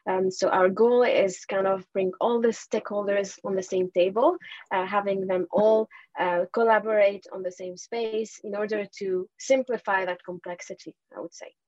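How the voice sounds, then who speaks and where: A woman's voice: medium pitch, fairly even intonation, moderate pace, darkish tone, no echo, lo-fi sound. A young woman from Tunisia, a lawyer guest, chatting casually in an interview and laughing often.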